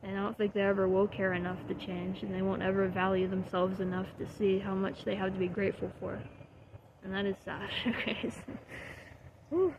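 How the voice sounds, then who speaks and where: Earnest young woman with a gravelly voice. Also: mid-range voice, little pitch movement, brisk, highs cut off, background noise. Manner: earnest and heartfelt, swearing, trailing off with soft laughs. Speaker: vlogger. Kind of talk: candid motivational monologue.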